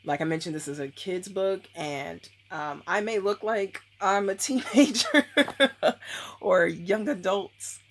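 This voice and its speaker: A woman's voice: medium pitch, slow pace. A woman in her thirties talking casually on camera at home, rambling with lots of ums and likes. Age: 30s. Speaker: vlogger. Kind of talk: casual book review vlog.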